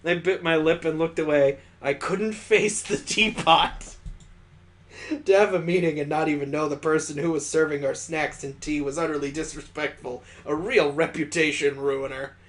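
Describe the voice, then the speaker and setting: Higher-pitched man reading a visual novel. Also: steady tone, normal speed. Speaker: gaming video host. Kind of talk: expressive visual novel read-aloud with casual asides.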